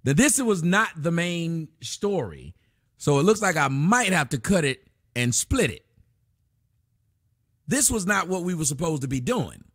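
Lively, profane livestream host, dry sound. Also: male voice, fairly high pitch, unhurried, dry recording. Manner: loose, profane, reads donations and chat aloud. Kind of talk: casual livestream chat. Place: small indoor room at a desk.

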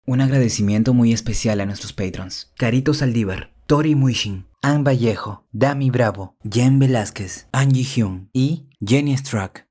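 A man's voice: mid-range voice, measured pace, few pauses, dark tone, breathy edge. A man reading the channel's formal introduction and thanks to supporters. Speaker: channel announcer.

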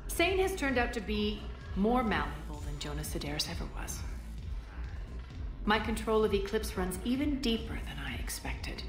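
A woman playing a haughty alien crime boss in a video game, sardonic, deliberate and commanding. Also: medium pitch, sing-song, lightly acted. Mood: sardonic, cool. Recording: slightly roomy, music behind.

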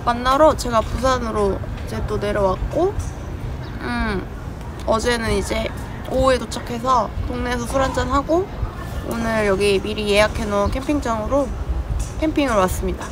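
A woman in her thirties chats in a relaxed, upbeat way, her voice a little high. She is outdoors at a campsite, caught on a camera mic. Traffic and open-air ambience run clearly behind her. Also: moderate pace. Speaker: vlogger. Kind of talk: casual camping vlog narration.

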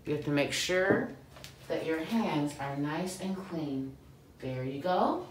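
A woman's voice: sits low, expressive, slow pace. A middle-aged woman, a preschool teacher, giving encouraging instructions through a face mask in a role-play with a child. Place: small school entry room, indoors. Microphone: distant camera microphone. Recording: very echoey room, distant, faint noise.

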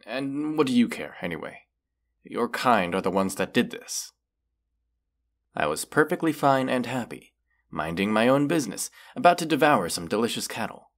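A man voicing a proud, menacing wounded dragon in a fantasy audio roleplay, speaking in a haughty, deliberate, archaic way. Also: medium pitch, very animated. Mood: proud, indignant.

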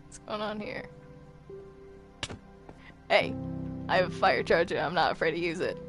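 Female gamer, slightly low pitch, casual roleplay chatter over faint music. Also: lively intonation, normal speed. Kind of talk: casual gaming chat.

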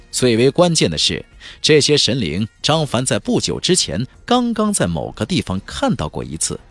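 A man's voice: mid-range voice, fairly bright tone, no echo. A man narrating a fantasy novel as an audiobook, with a theatrical, emphatic storytelling delivery. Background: background music.

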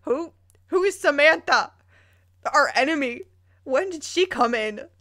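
Female YouTuber, slightly high-pitched, dramatic reader with incredulous, playful asides. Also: expressive, unhurried, sharp tone. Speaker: YouTuber reading fan fiction aloud. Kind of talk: dramatic reading with bemused commentary.

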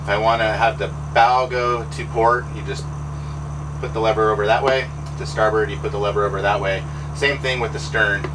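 Low-voiced man touring his boat's helm. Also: normal speed, noisy background. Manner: relaxed, practical, with fillers. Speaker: boat owner giving a tour. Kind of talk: casual explanatory walkthrough. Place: pilot house of a trawler yacht underway.

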